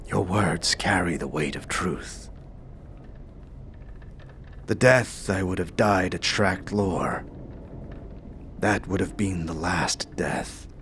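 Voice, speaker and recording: A man playing a somber, weary, honor-bound otherworldly warrior-monk in a fantasy audio drama, in a hushed, dry, halting voice. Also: deep voice, a little hiss or hum, exaggerated voice. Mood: somber, sorrowful.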